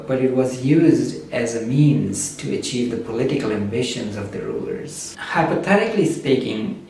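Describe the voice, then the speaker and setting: A man whose native language is Urdu gives a slow, measured spoken reflection and often pauses with "uh". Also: mid-range voice, gravelly, a little mellow. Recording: very echoey room, distant mic, faint background noise.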